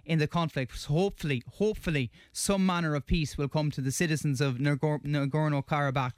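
A man giving news commentary on global politics, halting with restarts. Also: a little high, fairly even intonation, dry recording, studio quality. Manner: halting, restarts mid-phrase.

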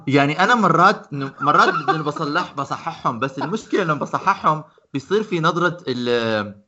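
A young man races along in a bright, forceful voice, pitched a little above most men. He jokes easily and slips English words into his talk, and the call audio sounds dull and lo-fi. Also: lively intonation. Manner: quick, joking, mixes in English words. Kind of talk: casual group chat.

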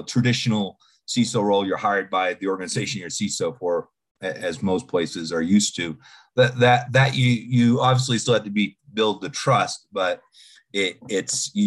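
Very low-voiced man in his 40s from Boston, halting podcast guest who often restarts his sentences. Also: fairly bright tone. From Boston, United States. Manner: halting, restarts and repeats words. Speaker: podcast guest, security executive. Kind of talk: casual interview talk.

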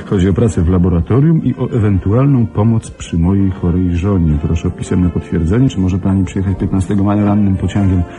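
A male actor plays a young man in a radio play, full of ironic banter and protective toward his friend. Music runs clearly behind his voice, which sits at an ordinary male pitch. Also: steady tone, a little fast, few pauses, warm tone, lightly acted. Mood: reading, skeptical. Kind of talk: Polish radio play acting.

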